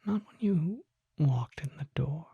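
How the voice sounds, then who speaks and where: A performer voicing a refined, mysterious vampire shopkeeper in a soft, breathy ASMR whisper, speaking formally with deliberate pauses. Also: medium pitch, lively intonation, dry recording, highs cut off, exaggerated voice. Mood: hushed mystery. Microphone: close microphone.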